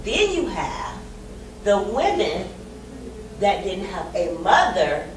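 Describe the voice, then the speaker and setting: A woman's voice: mid-range voice, big pitch swings, slow pace, noisy background. A woman speaking emphatically, laughing often, in a casual group discussion. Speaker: panelist in a women's discussion circle. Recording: echoey room picked up from a distance, chatter behind.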